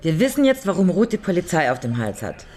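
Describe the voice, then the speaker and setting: An actress playing a resolute, level-headed lawyer in a radio crime drama, explaining her plan in a matter-of-fact, deliberate way. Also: lowish voice, very animated, darkish tone. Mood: confident, organising.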